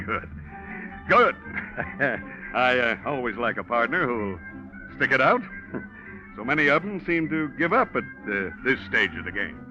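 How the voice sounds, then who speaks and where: A man's voice: mid-range voice, sing-song, unhurried, long pauses. An American man playing a jovial, slippery business partner in 1940s radio style, blustering and chuckling as he hems and haws. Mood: evasive, smug. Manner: blustering, hemming and hawing, chuckly. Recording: lo-fi old radio, music behind.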